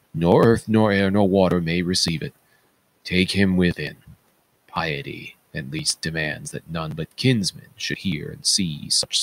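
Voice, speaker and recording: Guy doing a sober commanding greek ruler, deep raspy voice, formal and measured stage tragedy. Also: rough recording. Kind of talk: theatrical reading of classical tragedy.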